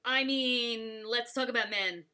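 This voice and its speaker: Woman co-host, relaxed chatter, chimes in with quips, slightly high bright voice. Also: measured pace. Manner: laughs along, chimes in with quips. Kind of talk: casual chat.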